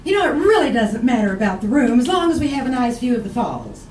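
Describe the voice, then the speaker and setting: An actress playing a romantic but nagging, easily wounded wife in old-time radio comedy, chattering and exasperated. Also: female voice, on the high side, sing-song, quick pace, a little mellow, recorded from a distance. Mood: chatty. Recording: roomy, noisy old radio, off mic.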